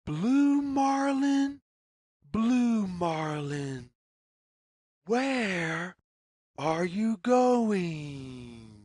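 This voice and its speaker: A woman reading a picture book aloud for young children, with deliberate, singsong phrasing and questions put to the listener. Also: medium pitch, big pitch swings, darkish tone, no echo, studio quality. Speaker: children's read-along storyteller.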